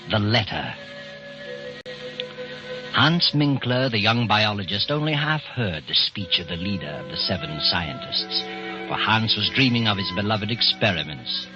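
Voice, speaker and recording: Low, ominous mystery storyteller. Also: male voice. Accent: American. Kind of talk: 1940s radio mystery narration. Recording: muffled 1940s radio broadcast with music.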